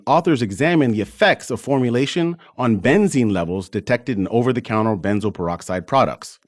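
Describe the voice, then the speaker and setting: Male podcast host for medical interviews, lowish pitch, close dry recording. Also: dry recording.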